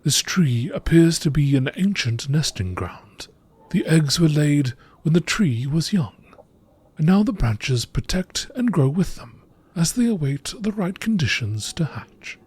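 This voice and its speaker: A man reading scripted text aloud in a measured nature documentary style, as an amateur narrator. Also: on the high side, big pitch swings, darkish tone, husky, dry recording.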